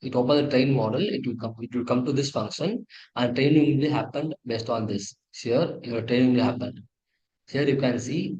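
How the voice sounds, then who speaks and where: A man with an Indian accent presenting a technical project walkthrough in a halting, repetitive way, often correcting himself. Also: medium pitch, darkish tone, lo-fi sound. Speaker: presenter of an engineering project demo. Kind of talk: tutorial walkthrough, partly read from slides.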